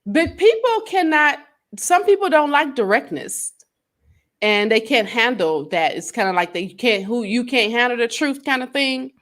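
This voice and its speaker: A woman's voice: on the high side, expressive, normal speed, fairly bright tone. A woman co-hosting a relationship talk show, teasing and laughing often, with casual slang. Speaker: co-host.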